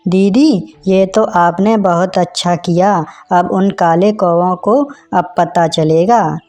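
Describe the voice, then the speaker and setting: A woman's voice: fairly low pitch, steady tone, slow, warm tone, soft background music. A woman voicing a meek, earnest male parrot who talks like a respectful younger brother in a cartoon story. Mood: approving. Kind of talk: Hindi moral-story cartoon dialogue.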